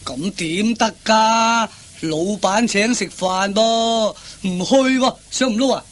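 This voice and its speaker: A man's voice: sits high, slow, fairly bright tone. An actor playing a glib, self-assured male workmate who coaxes and schemes in a radio comedy. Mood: persuading. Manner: coaxing, glib, exclamatory. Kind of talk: Cantonese radio comedy acting.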